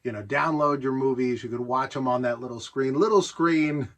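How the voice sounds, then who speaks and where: A man's voice: medium pitch, moderate pace, fairly bright tone. A middle-aged man with an American accent giving a casual, nostalgic show-and-tell, rambling along conversationally and with enthusiasm. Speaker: presenter showing a collection of vintage gadgets.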